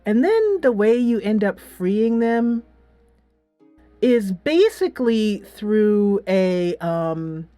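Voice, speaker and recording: A middle-aged woman giving a casual, giggly video game review, animated and emphatic, drawing out words for effect. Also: medium pitch, drawn-out words, dry recording, faint music behind.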